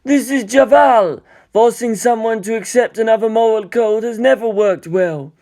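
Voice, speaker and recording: Wise elderly monk acted by a man, patient mentor, formal measured delivery, storybook reading. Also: sits high, warm tone, pitched above the performer's own voice, lightly acted. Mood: wry, instructive. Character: wise old male mountain monk, patient teacher.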